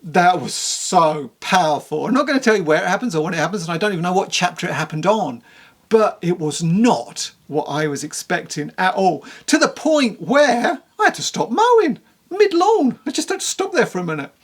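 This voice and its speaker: A person giving a casual spoken book review to camera in a candid, rambling, conversational way, with a creaky voice. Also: medium pitch, big pitch swings, normal speed.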